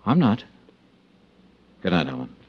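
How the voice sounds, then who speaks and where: A very low, gravelly American man's voice, cool and probing as a hard-boiled radio investigator. He talks in a clipped, wry way. The sound is the dull lo-fi of a 1950s broadcast. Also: wildly swooping pitch, unhurried, over loud music, exaggerated voice. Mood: dry, cool. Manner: clipped, sardonic. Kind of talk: 1950s radio detective acting and narration.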